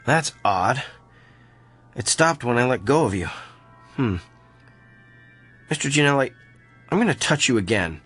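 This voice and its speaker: A man's voice: medium pitch, lively intonation, unhurried, pauses often, fairly bright tone, slightly rough, soft background music. A man acting in a radio drama, playing an arrogant, self-assured and brusque doctor. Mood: puzzled, intrigued. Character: brilliant, arrogant, tactless male doctor. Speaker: actor.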